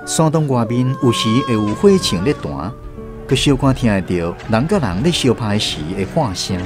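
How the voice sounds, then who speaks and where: Expressive Taiwanese man narrating over music. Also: mid-range voice, normal speed. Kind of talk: literary radio drama narration.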